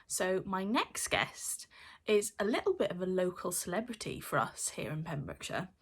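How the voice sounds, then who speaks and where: A woman with a slightly low voice introduces an interview as its host. She speaks straight to her audience in a gushing, emphatic way. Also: big pitch swings, moderate pace, breathy edge. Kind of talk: friendly addressed introduction to viewers.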